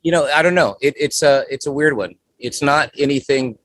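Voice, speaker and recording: A man's voice: medium pitch, fairly quick, fairly bright tone, no echo. A man in his forties, a guest musician chatting casually in an interview, rambling with lots of filler phrases. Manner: rambling, many "you know" fillers.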